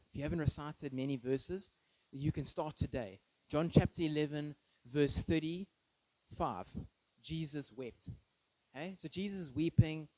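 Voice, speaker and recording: A man of thirty preaches in a conversational, anecdotal way. His voice sits slightly high for a man and is captured very dry, with no room sound. He keeps it informal and regularly turns to listeners with brief tag questions. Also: dark tone, dry recording, rough recording. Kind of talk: conversational sermon with personal anecdotes.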